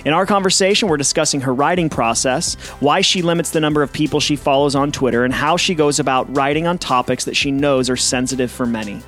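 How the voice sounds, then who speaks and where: A man's voice: fairly high pitch, fairly bright tone, soft background music. A male pastor hosting a podcast, reading a scripted intro and then asking easygoing interview questions. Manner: friendly, measured questions with fillers.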